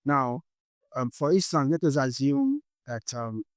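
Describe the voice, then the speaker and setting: Nigerian-accented male instructor, mid pitch, patient step-by-step delivery, dry close sound. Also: sing-song, measured pace, no room sound. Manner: explains step by step, tags lines with "okay?". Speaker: forex trading instructor. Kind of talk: explanatory course lesson.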